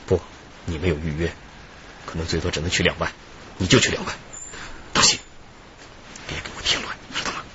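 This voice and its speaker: A cold armed robber in a radio play, his voice very low and full of controlled threat. The recording is dull, with clear noise behind him. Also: level tone, a little fast, long pauses, bright, forceful tone. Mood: cold menace. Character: menacing male bank robber threatening his hostage. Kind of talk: radio drama acting. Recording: dull, lo-fi, noisy radio drama track.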